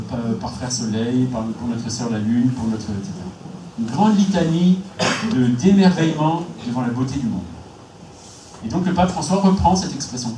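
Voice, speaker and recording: A priest giving a lively conference talk on faith and ecology, acting out inner voices, with frequent restarts. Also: male voice, medium pitch, noisy background. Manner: animated, acts out inner voices, many restarts. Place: hall. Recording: echoey hall, distant mic, faint background.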